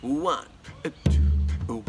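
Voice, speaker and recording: Slow-spoken man teaching percussion. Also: faint music behind. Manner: slow, explanatory, counting beats aloud. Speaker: music teacher. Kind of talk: demonstrating percussion outdoors. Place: outdoors by a tree.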